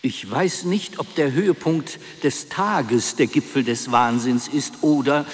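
An actor playing a contemplative sage who delivers aphorisms solemnly and reflectively in a poetic radio play. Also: male voice, medium pitch, a little slow, close to an everyday voice. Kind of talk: poetic radio drama recitation. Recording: clear music under the voice.

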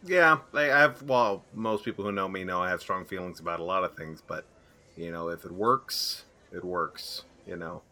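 A man's voice: low-pitched, pushed and bright. A man co-hosting a podcast, playing a cafe server, who laughs easily and stumbles over his words. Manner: quick to laugh, stammering. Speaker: co-host. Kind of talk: playful role-play as a cafe server.

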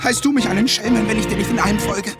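An actor playing a cheeky, cowardly, food-loving comic birdcatcher in a radio play, blustering and full of exclamations. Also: male voice, high voice, fairly even intonation, fast talker, close to an everyday voice. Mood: comic panic. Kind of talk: German radio play adaptation of an opera. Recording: music behind the voice.

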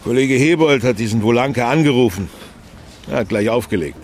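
A man's voice: mid-range voice, expressive, brisk, a little mellow, slight background noise, natural voice. An actor playing a brusque, impatient male newsroom chief with a clipped, commanding manner in a radio drama. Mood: briefing. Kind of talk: naturalistic radio drama acting.

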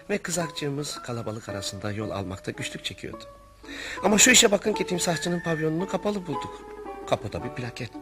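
An actor playing a polite, uneasy family friend who also narrates a radio comedy. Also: male voice, mid-range voice, lightly acted. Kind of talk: radio theatre dialogue and narration.

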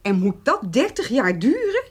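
An actress playing a skeptical, questioning middle-aged farmer's wife in a radio play. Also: female voice, fairly high pitch, huge pitch swings, normal speed. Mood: skeptical, reproving. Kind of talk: Dutch radio drama acting.

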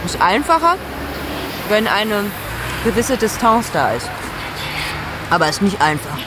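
A woman's voice: mid-range voice, very animated, a little slow, noisy background. An actress playing a caring, self-critical expatriate woman boss, giving a candid conversational monologue in a radio drama. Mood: candid, reflective.

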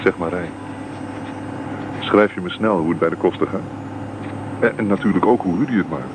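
A man's voice: deep voice, moderate pace, lightly acted. An actor playing an eager, lovelorn young man in a Dutch radio drama. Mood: eager, friendly. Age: young adult. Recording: noisy radio play recording.

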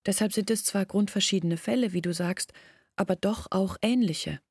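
An actress playing an earnest, bitter village woman in a German radio drama, reasoning insistently and intimately in a husky, creaky voice. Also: medium pitch, normal speed, darkish tone, no room sound, clean studio sound. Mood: earnest, persuasive.

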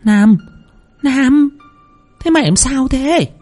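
A man's voice: very high-pitched, animated, slow pace, darkish tone, faint music behind, pitched above the performer's own voice, heavily acted. A man voicing a frightened, guilt-ridden older woman who stammers and pleads. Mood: alarmed.

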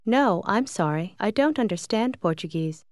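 A woman giving model phrases in a language course, clear and careful. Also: mid-range voice, measured pace, dry recording, clean studio sound. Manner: clear, even, careful delivery. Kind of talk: model pronunciation.

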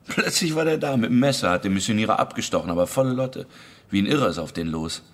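An actor playing an excitable, slangy man in a radio drama, with a husky voice and an animated manner. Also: lowish voice, fast talker. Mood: agitated. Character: excitable male associate breathlessly recounting a killing. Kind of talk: German radio drama acting.

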